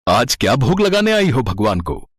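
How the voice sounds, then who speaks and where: A man voicing nosy, cajoling village men in an animated moral story, with a questioning, exclamatory way of talking. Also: medium pitch, normal speed, breathy edge, dry recording, studio quality. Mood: teasing, cajoling.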